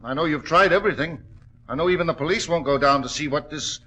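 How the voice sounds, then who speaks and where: An actor playing a humble, deferential miner, respectful and hesitant, in a 1940s radio drama. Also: male voice, on the high side, quick pace, bright, forceful tone. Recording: old-time radio drama recording.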